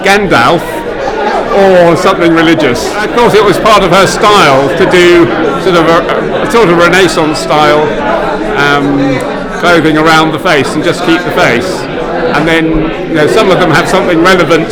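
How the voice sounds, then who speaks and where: A man giving a casual interview, halting and pausing mid-phrase. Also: a little high, over a noisy crowd. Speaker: interviewee at an exhibition. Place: large busy indoor public space. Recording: loud crowded indoor hall.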